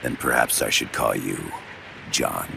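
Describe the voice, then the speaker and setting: Casting: a sly older KGB professor, game cutscene, rock-bottom gravelly voice, deliberate and formal delivery. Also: male voice, very noisy, full character voice. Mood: courteous, sly. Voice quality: very deep gravelly voice. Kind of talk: video game cutscene voice acting. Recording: music under the voice.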